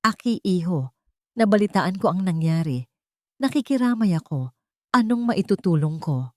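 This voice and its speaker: A woman's voice: lowish voice, lively intonation, moderate pace, dry recording, lightly acted. Cautious old family-friend businessman played by a female narrator, grave and careful, audio drama, dry sound. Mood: warm, sympathetic. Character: cautious elderly businessman, warm but guarded uncle.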